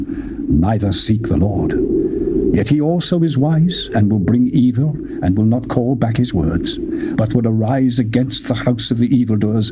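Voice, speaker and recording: A man reading scripture aloud in a solemn, declamatory manner, with wide swings in pitch. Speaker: Bible reader. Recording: loud background noise.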